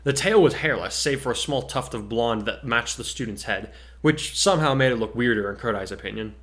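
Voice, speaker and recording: A man's voice: mid-range voice, fairly quick, fairly bright tone. A man co-hosting and reading his own fan fiction, moving between casual chat and dramatic narration. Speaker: co-host and fan fiction author.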